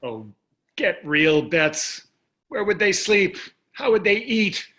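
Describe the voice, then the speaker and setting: Man playing a dry, dismissive rich husband, higher-pitched. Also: animated, slow, bright, forceful tone, exaggerated voice. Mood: dismissive, irritated. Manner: dry, blasé, clipped remarks. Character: dismissive, privileged wealthy American husband in his sixties. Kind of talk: staged play reading over video.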